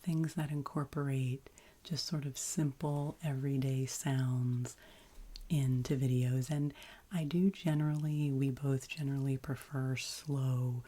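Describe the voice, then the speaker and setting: A woman with a deep, husky voice chats gently as an ASMR host, soft-spoken and often whispering. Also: steady tone. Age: adult, past college. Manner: slow, gentle, soothing delivery.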